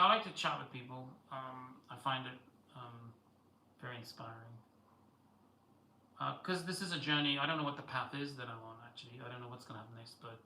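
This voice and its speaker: A man talks loosely to his viewers on a live stream, wandering from thought to thought with lots of fillers. His voice is very bright and cutting. The room is echoey, with faint ambient noise behind him. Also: mid-range voice. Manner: rambling, many fillers and restarts, chats with viewers. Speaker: livestream host. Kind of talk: casual live-stream monologue.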